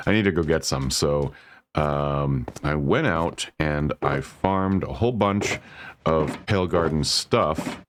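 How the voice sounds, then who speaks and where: A man casually talking through his game build, relaxed and rambling, correcting himself and laughing softly, a bit congested. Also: extremely low pitch, a little hiss or hum. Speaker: Minecraft builder and channel host. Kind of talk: casual gameplay commentary.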